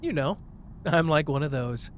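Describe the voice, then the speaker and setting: A man's voice: on the high side, expressive, normal speed, a little hiss or hum, natural voice. A man playing a cocky, caring young sorcerer in a roleplay ASMR drama, teasing and tender as the listener's partner. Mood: playful teasing.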